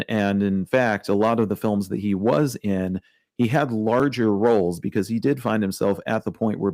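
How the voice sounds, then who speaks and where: A man's voice: fairly low pitch, warm tone, dry recording, clean studio sound. A man co-hosting a casual podcast, telling a story in a chatty, wry way, full of fillers. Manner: chatty, lots of like and you know, wry. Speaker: podcast co-host.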